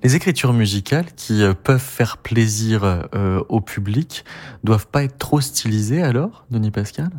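A man's voice: fairly low pitch, animated, normal speed, darkish tone. A man hosting an interview, asking long, winding questions full of hesitations. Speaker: host, interviewer.

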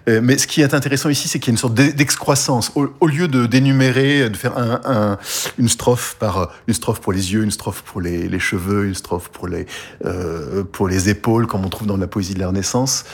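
A male guest on a cultural interview who explains his ideas in a halting way, with many hesitations and restarts. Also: on the low side, husky. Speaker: guest musician.

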